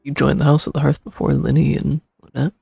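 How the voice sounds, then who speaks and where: Very gravelly male game streamer. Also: a little high, little pitch movement, fast, darkish tone, no room sound, clean studio sound. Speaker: game streamer reading dialogue choices aloud. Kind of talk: casual let's-play read-aloud. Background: game music.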